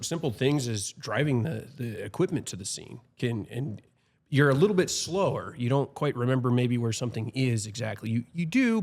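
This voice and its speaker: A man in his thirties, a firefighter, speaking reflectively with frequent restarts in a casual podcast chat. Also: medium pitch. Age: 30s.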